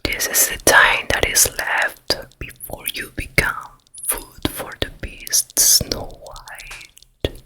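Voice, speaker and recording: A woman playing a vain, wicked fairy-tale queen in a menacing, breathy ASMR whisper. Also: natural voice. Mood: gloating, menacing. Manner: very slow, repetitive, menacing murmur. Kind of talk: ASMR roleplay.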